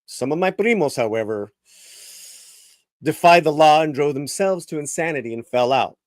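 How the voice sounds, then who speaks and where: A man performs a first-person novel aloud with a somewhat high, very animated voice that swoops up and down. His reading is theatrical, dropping to a hush and then punching words, with frequent stumbles and restarts. The sound is dry and close. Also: moderate pace, no room sound. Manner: theatrical, swinging between hushed and emphatic with frequent restarts. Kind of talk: dramatic live reading of first-person fiction.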